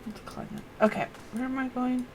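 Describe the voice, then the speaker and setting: A woman's voice: high voice, level tone, moderate pace, a little mellow, slight background noise. A woman streaming a game, muttering casually to herself about where to go next. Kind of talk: casual chat while playing a game.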